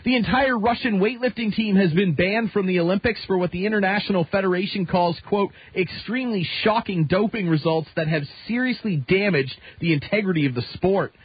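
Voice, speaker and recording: A man's voice: high voice, a little fast, hardly any pauses, husky, no echo, lo-fi sound. A Canadian man reading a scripted newscast as a radio news anchor. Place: radio studio.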